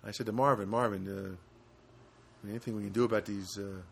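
A man hosting a casual solo podcast from a studio apartment, rambling and halting with many fillers. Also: on the low side, fairly even intonation, creaky, husky. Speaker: podcast host.

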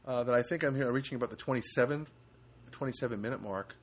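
A man hosting a casual solo podcast from a studio apartment, rambling and halting with many fillers. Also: mid-range voice. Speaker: podcast host.

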